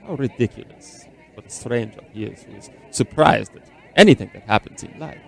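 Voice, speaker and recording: An actor in a radio drama playing a weary, secretive, centuries-old immortal man who speaks in formal, measured, eloquent phrases. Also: mid-range voice, creaky, close to an everyday voice. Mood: courteous, guarded. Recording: orchestral film music underneath.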